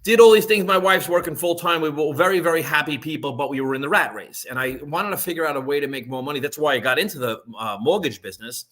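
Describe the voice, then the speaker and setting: A man talks loosely to a live audience, stumbling through restarts and tossing in "right?" every few lines. His voice sits slightly high for a man and rings bright and forceful. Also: fast talker, hardly any pauses. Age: 50s. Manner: conversational, rambling with frequent restarts and "right?" checks. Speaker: online business presenter. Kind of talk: live-streamed sales pitch.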